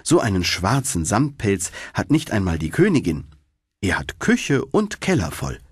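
A man reads a bossy old female field mouse from a storybook. The voice is husky and lowish, and it scolds and nags sternly. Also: sing-song, unhurried, dry recording, clean studio sound, exaggerated voice. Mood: scolding. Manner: stern, nagging.

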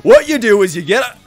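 A gleefully cruel monster boss hosting a deadly game show in a told story. The voice is gravelly and pitched high, swinging widely as he taunts like a bombastic showman, with music playing behind. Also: heavily acted, rough recording. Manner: taunting, shouting showman, sputtering.

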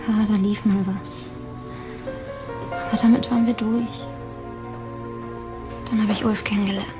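A woman's voice: mid-range voice, flat delivery, unhurried, over music, lightly acted. A woman acting a grieving, guarded girlfriend in a crime radio play, giving short subdued answers. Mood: subdued, defensive. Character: grieving, guarded young girlfriend of a dead man.

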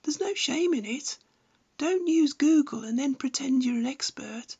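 A woman's voice: high voice, expressive, unhurried, warm tone, highs cut off. A woman reading scripted instructional narration in a blunt, didactic manner. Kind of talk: scripted narration, tutorial with opinionated advice.

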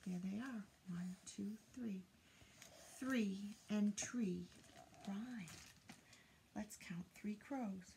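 An older woman reads a picture book aloud to young children, counting in a deliberate singsong and prompting them with questions. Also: medium pitch, gravelly, dark tone, a little hiss or hum. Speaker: children's teacher reading aloud. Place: indoors, small room lined with bookshelves.

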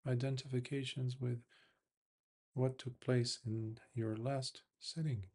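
A man with a medium-pitched voice teaches meditation. He speaks in short, measured phrases and leaves long pauses between them, giving instructions as a Buddhist monk would to students. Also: little pitch movement, dark tone.

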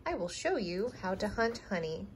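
A woman's voice: mid-range voice, sing-song, normal speed, slight background noise, natural voice. A woman voicing a bumbling, cheerfully stubborn papa bear from a children's storybook, with a folksy, excuse-making manner. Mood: sheepish, grateful.

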